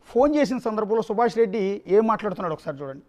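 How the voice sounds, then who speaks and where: A man's voice: sits high, expressive, rapid-fire, darkish tone. A man anchoring the news, giving emphatic unscripted commentary and repeating phrases for effect. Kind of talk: live news commentary, extempore.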